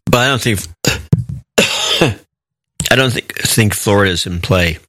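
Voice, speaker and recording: A man's voice: on the low side, a little slow, sharp tone, dry recording. A man hosting a talk show, thinking aloud in casual conversation with a skeptical, questioning manner.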